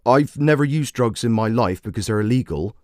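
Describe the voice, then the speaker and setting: A man's voice: mid-range voice, expressive, brisk, a little mellow, no echo, clean studio sound, close to an everyday voice. A man voicing a defensive, bigoted radio caller in his forties, blunt and grumbling. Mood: indignant.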